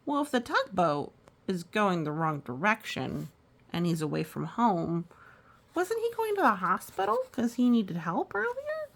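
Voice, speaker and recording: A woman's voice: medium pitch, wildly swooping pitch, unhurried, creaky. A woman reading a story aloud, with reassuring, musing, chatty asides as if to children. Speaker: storytime reader.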